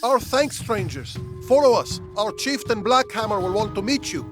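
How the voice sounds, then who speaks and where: An eager, excitable dwarf warrior from a fantasy game. His voice is extremely high for a male, with loud music behind it. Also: moderate pace. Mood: grateful. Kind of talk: fantasy game character acting.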